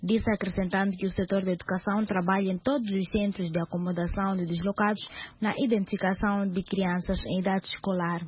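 Female radio correspondent, measured reporting read, lo-fi broadcast sound. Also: mid-range voice, fairly even intonation. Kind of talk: news report with interview. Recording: dull radio broadcast with faint hiss.

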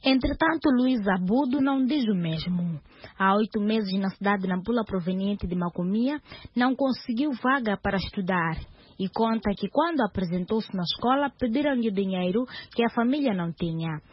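A woman reporting news for radio in a measured delivery, with short interview questions. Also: medium pitch, expressive, husky. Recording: dull radio broadcast with faint hiss.

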